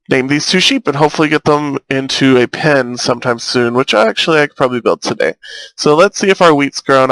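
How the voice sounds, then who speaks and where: A man's voice: medium pitch, no echo, low-quality recording. A young man with a slight lisp chatting casually while playing a game, recorded on a cheap earbud microphone. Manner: chatty, rambling, easily excited. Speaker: gaming YouTuber. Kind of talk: casual let's-play commentary. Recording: thin, robotic-sounding earbud mic.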